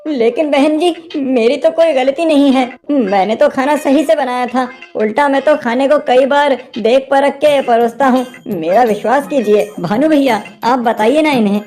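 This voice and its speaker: A woman voicing a meek, honest young man, a younger brother in an animated moral story, speaking earnestly and pleadingly. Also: high-pitched, moderate pace, hardly any pauses, lightly acted.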